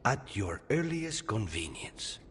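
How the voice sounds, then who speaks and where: A male assassin for hire in a fantasy game. The voice is deep, dry and businesslike. It rings in a long reverb with faint ambience behind. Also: very animated, fairly bright tone, a little hiss or hum, heavily acted. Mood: cool, businesslike. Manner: dry, measured, matter-of-fact. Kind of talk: dramatic fantasy game voice acting.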